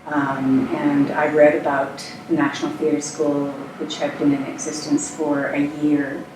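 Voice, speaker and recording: A woman's voice: low voice, a little slow. Older woman in an echoey room. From Scotland. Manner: relaxed, thoughtful, with frequent hesitations. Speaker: interview guest, actress. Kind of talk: casual conversational interview. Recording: echoey room, distant mic, faint noise.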